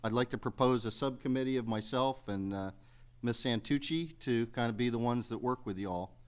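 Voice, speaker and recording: A man speaking off the cuff as he chairs a public township meeting, measured and conciliatory, with folksy phrasing. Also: medium pitch, clear, resonant tone. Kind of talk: unscripted remarks at a public meeting.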